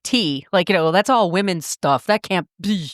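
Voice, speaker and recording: A woman chats casually as a podcast co-host. Her voice sits a little low, and the recording is dry with no room sound. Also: animated, moderate pace, dry recording. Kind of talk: casual chat.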